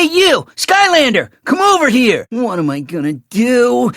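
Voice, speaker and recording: Excitable anxious lil island villager for a cartoon game, voice jumps all over, friendly, dry recording. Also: medium pitch, no room sound, heavily acted. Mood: fretful. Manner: exclaiming, fretful, eager. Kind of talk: cartoon video game voice acting.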